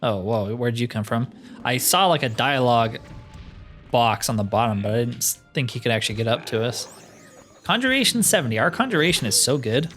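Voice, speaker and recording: A man's voice: mid-range voice, animated, fairly quick, a bit bright. Casual man gaming, music behind him. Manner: chatty, thinking aloud. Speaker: gaming YouTuber playing a fantasy roleplay game. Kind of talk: casual let's-play commentary.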